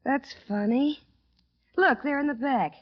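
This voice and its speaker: An actress playing a caring, concerned wife in an old radio drama, with a solicitous, soothing manner. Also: female voice, high-pitched, measured pace. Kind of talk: 1940s-50s radio drama acting. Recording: dull old radio recording.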